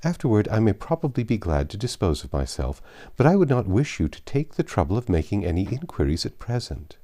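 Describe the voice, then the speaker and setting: A man voicing a reserved, polite young woman in a classic novel reading, formal and quietly firm. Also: lowish voice, sing-song, fairly quick. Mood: reluctant.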